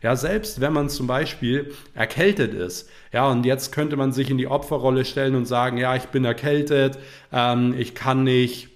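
A young man hosting a motivational podcast, talking earnestly while he has a cold, peppering his points with quick fillers. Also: mid-range voice, steady tone. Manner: earnest, repetitive, frequent "ja" fillers. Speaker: podcast host.

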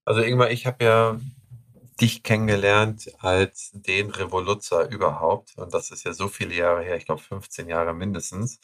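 A male podcast host with a fairly low voice speaks enthusiastically and conversationally, now and then pausing on filler sounds. His voice is recorded dry and close, with almost no room sound. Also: steady tone, a bit bright, no echo. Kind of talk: podcast interview.

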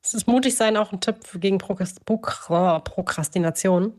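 Woman co-hosting a podcast, average pitch, casual slangy chat. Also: normal speed, darkish tone. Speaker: podcast host.

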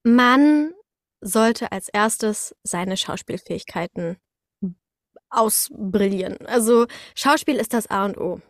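A woman's voice: mid-range voice, slow, no room sound, clean studio sound. A woman explaining her work as a voice actor in casual conversation, acting out little moments with sound words as she goes. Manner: animated storytelling with little acted-out sound words. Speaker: voice actor, interview guest.

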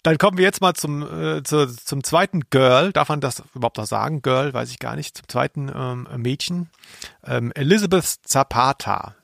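Gravelly, dry-humoured male podcast co-host. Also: medium pitch, moderate pace, a bit bright, no echo. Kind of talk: casual chat about audio dramas.

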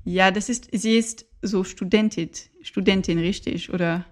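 A woman's voice: medium pitch, steady tone. A woman from Paris speaking German as a second language with a French accent, a podcast guest in casual chat who halts and searches for words. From Paris, France. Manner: halting, searching for words, many fillers.

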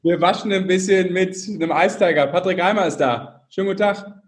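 A man's voice: high voice, fairly quick. A man co-hosting a podcast in a casual, joking way. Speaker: podcast co-host. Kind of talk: casual chat, bantering.

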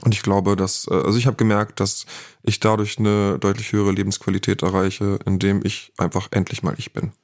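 A man's voice: on the low side, level tone, a little fast. A man co-hosting a podcast, talking in a casual, reflective way with many fillers. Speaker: podcast co-host.

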